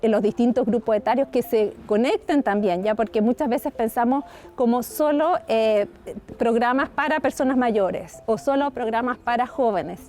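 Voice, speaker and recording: A woman's voice: fairly high pitch, moderate pace, darkish tone. A woman speaking in an interview in a reflective way with fillers. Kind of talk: interview explanation.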